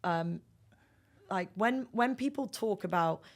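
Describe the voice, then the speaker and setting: A woman's voice: medium pitch, takes it slowly. A woman speaking as a sales executive and podcast guest, explaining business practice emphatically in a conversational interview.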